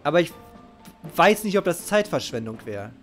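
A man's voice: on the high side, big pitch swings, moderate pace. A middle-aged man commenting casually on a game live, emphatic and emotionally invested, repeating his phrases. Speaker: game streamer. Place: indoor home streaming setup. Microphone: close microphone, headset worn. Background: game music.

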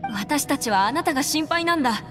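A woman's voice: high-pitched, lively intonation, a little fast. A woman voicing a composed, resolute young adventurer in a Japanese anime game, speaking in an articulate, slightly formal, earnest way. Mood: urgent, earnest. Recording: long reverb, music behind.

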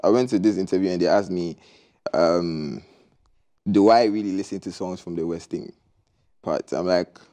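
A young man, a musician guest chatting in a relaxed, easygoing way in a TV studio. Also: low-pitched, moderate pace, pauses often. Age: young adult. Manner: relaxed, laid-back, frequent "you understand?". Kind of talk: casual interview chat. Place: TV studio set, seated on sofa. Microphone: lapel mic.

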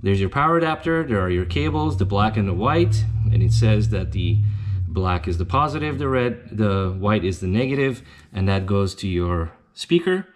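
A man's voice: lowish voice, animated, normal speed, slight background noise. A man giving an informal step-by-step product demonstration, explaining an installation plainly as a company representative. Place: indoors at a tabletop demonstration bench.

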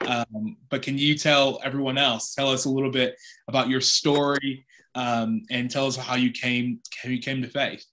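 A man's voice: mid-range voice, fairly even intonation, normal speed, bright, forceful tone, rough recording. A middle-aged man, a priest interviewing a guest over a video call, friendly and upbeat, with some fillers. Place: home room with bookshelves.

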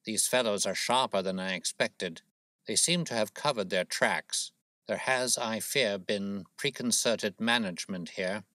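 A man voicing a shrewd, smooth-talking gentleman detective, suave and probing, in an audiobook story. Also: lowish voice, unhurried, dry recording. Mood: grim.

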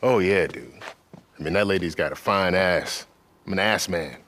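A man's voice: sits low, normal speed, fairly bright tone, slight background noise. An American man playing a cocky, crude, chummy army soldier in a video game, with slangy, breezy talk. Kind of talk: video game cutscene acting.

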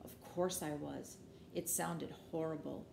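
A middle-aged woman reads a children's picture book aloud expressively, with measured delivery and dramatic pauses. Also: low-pitched, steady tone, vocal fry, darkish tone. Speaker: storybook reader. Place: living room, indoors. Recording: roomy home recording with background noise.

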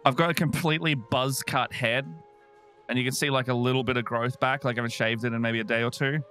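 A man's voice: medium pitch, fairly even intonation, quick pace, pushed and bright, faint music behind. A man playing a tabletop game, chatting casually and jokingly about his character. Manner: playful, joking.

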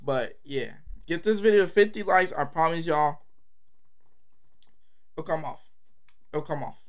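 Young male reaction host, slightly high voice, rambling and mumbly. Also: animated, measured pace. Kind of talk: casual direct-to-camera talk.

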